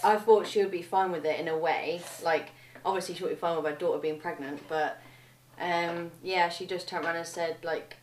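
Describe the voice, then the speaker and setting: Echoey, low-voiced young woman, informal and hesitant. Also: steady tone, brisk, hardly any pauses, recorded from a distance. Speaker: young mother being interviewed. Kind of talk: candid documentary interview. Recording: echoey room with faint background noise.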